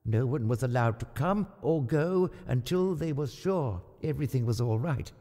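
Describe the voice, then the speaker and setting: A man's voice: mid-range voice, lively intonation, moderate pace, dark tone, natural voice. A man playing an elderly monk earnestly explaining himself in a dramatized story. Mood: apologetic, worried. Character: elderly monk, anxious bearer of bad news. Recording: long reverb, music behind.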